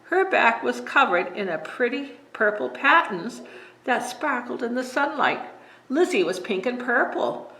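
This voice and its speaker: A woman librarian reading bedtime stories to children in a gentle, friendly storytelling manner. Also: on the high side, lively intonation, unhurried. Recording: echoey room.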